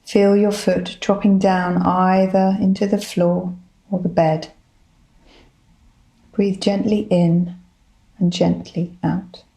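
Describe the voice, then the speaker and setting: Slow, calm woman guiding meditation. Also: lowish voice, creaky, poor audio. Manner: gentle, calm, soothing instruction. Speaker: psychologist, guided meditation leader. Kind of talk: guided body scan meditation.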